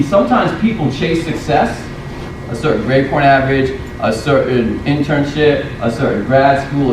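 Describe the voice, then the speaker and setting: A university educator giving a leadership talk to students, listing point after point. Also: male voice, a little high. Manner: lists items one after another. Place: large echoey hall. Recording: echoey hall from far off, audible noise.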